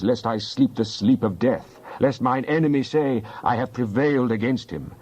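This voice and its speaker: A man's voice: medium pitch, darkish tone, lightly acted. Man acting solemn devout psalmist, slightly dull recording. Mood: pleading, prayerful. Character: devout male psalmist praying to God. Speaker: scripture reader. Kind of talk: dramatized Bible reading, solemn and declamatory.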